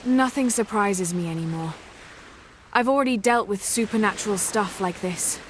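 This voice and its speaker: A woman's voice: mid-range voice, moderate pace, slight background noise, lightly acted. A woman voicing a determined English adventurer searching for her late father's artifact, speaking in a guarded, matter-of-fact way with an English accent. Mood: wry. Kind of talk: amateur animated voice acting.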